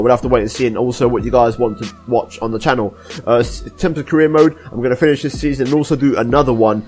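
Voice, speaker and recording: Hoarse young Englishman vlogging fast. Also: medium pitch. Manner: rambling, apologetic, with many restarts. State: hoarse, deep voice after a cold. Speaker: gaming YouTuber. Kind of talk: casual vlog update to viewers.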